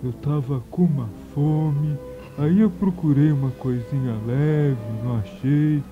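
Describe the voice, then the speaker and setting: An actor playing a hungry, laid-back talking stomach in a comic radio play, slangy and nonchalant. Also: male voice, on the high side, measured pace, phone-quality sound, over music, lightly acted.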